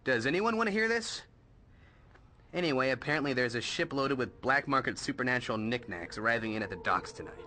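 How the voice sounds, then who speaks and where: A man's voice: medium pitch, expressive, a little fast, pushed and bright. A man playing a wisecracking, jealous young sidekick in a video game, with a casual, joking delivery. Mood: eager, irked. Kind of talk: video game cutscene acting.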